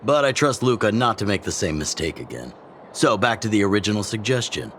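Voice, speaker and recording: Older fighting mentor, low pitch, animated, teasing, hearty, video game character. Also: male voice, brisk, a little hiss or hum. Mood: amused. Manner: jovial, teasing. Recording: faint music behind.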